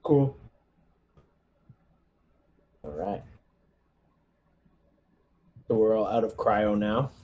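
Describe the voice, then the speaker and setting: A man's voice: lowish voice, very slow, pauses often, darkish tone. A man chatting casually as a player in a tabletop game. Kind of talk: casual chat.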